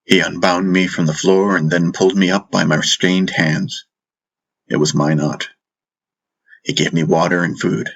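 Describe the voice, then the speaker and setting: A man's voice: low voice, fairly even intonation, moderate pace, gravelly, fairly bright tone, low-quality recording. A man reading a fantasy audiobook as a dramatic first-person narrator, solemn and intense.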